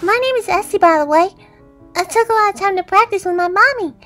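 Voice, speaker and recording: A woman's voice: very high voice, fast, a bit bright, faint music behind. A woman voicing a sweet, earnest little girl with a Southern US twang who wants to be a doctor. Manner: bubbly, earnest, childlike. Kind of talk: fan comic dub.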